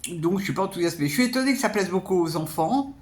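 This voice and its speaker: A man's voice: sits high, animated, fast talker. A man giving a casual spoken film review as a vlogger, hesitant and often repeating words.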